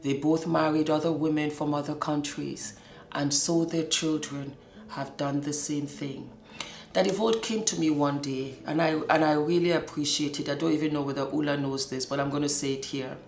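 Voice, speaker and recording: Lively woman host in an echoey room. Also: sits low, moderate pace. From Scotland. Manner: animated, laughs often. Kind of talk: casual personal monologue.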